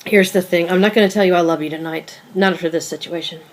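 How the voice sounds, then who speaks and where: A woman's voice: lowish voice, steady tone, quick pace, natural voice. A woman playing a guarded, cutting and evasive girlfriend in a table read of a stage play, dry, blunt and conversational.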